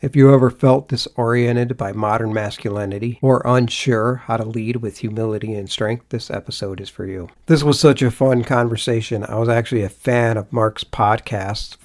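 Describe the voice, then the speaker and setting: A male podcast host speaks earnestly and warmly as he introduces his guest and chats with him. His voice sits at an ordinary middle pitch. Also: fairly even intonation, moderate pace, hardly any pauses. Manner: earnest, friendly, faith-centered. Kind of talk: scripted intro then casual interview.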